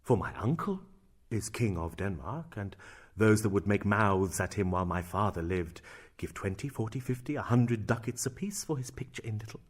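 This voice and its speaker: An actor's brooding, theatrical young prince. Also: male voice, lowish voice, animated, moderate pace, breathy edge. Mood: bitter, confiding. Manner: theatrical, swinging between hushed and exclaiming. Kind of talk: Shakespearean dramatic reading.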